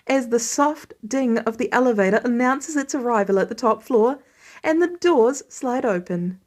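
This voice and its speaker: A woman narrating fiction in an expressive scripted reading style, as an audiobook reader. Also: a little high, normal speed.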